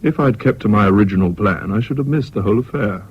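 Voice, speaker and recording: A dulled old radio recording holds a low, husky British male voice. a gentleman recalling his own story, reflective and literary, with deliberate phrasing. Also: lo-fi sound. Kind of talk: first-person radio drama narration.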